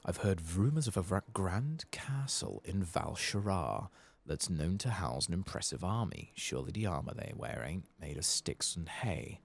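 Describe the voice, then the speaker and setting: Fantasy game dwarf played by a soft-spoken man: sly, boastful armorer, bass and creaky, confiding. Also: heavily acted. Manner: bragging, conspiratorial. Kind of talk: soft-spoken reading of game quest dialogue.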